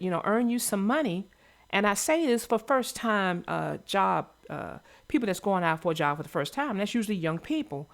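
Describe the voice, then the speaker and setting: A woman co-hosting a casual chat about a children's story, emphatic and given to offering advice. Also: medium pitch. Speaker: read-aloud show co-host.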